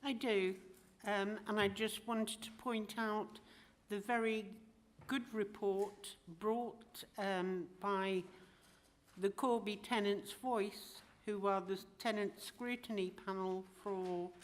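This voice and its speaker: Slow, hesitant woman councillor. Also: medium pitch, steady tone. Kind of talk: council meeting statement.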